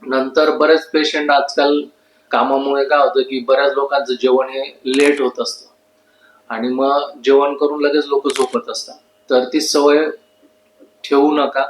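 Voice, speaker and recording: Man, mid-pitched, little intonation, instructive medical explainer, repeats key points. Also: level tone, measured pace, slight background noise. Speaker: ENT doctor giving health advice. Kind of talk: informal medical explainer to camera. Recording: echoey room.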